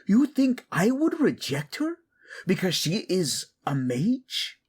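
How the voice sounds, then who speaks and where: Male actor as a devoted young king, proud, romantic audio drama, slow deliberate phrasing, very expressive intonation, earnest. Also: high-pitched, husky, full character voice. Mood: indignant, defiant.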